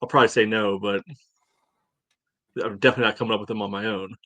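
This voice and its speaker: A man's voice: fairly low pitch, steady tone, fast talker, pushed and bright, no echo. A man in his forties chatting casually as a podcast co-host, in a hesitant, rambling way. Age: 40s. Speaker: soccer fan podcast co-host. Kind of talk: casual chat.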